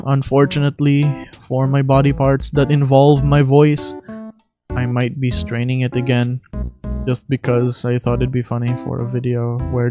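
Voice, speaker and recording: A young adult video host giving a casual, self-deprecating announcement to viewers, with some casual swearing. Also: medium pitch. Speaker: video host and amateur voice impressionist. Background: music.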